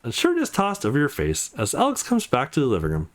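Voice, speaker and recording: A man reading visual novel narration aloud in an expressive storytelling style for a let's play. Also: mid-range voice, fast talker.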